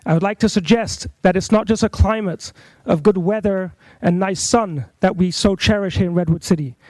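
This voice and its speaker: A man with a high, mellow voice makes an earnest, measured appeal at a council meeting. He speaks as a rabbi into a podium microphone, and the sound is close and dry. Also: no echo, low-quality recording. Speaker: rabbi, public commenter. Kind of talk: public comment at a council meeting. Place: council chamber.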